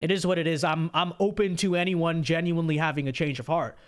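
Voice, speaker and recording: A man's voice: on the high side, fairly even intonation, fast. A young man hosting a livestream, giving opinionated commentary with many restarts and reading viewer super chats, his voice scratchy and sore. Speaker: livestream host.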